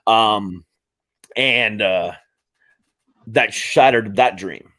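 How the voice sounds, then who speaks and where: A man's voice: lowish voice, very slow, fairly bright tone. A man in his late thirties hosting a podcast, talking casually in a rambling way with many fillers and restarts. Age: 30s. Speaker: podcast host. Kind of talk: casual interview chat.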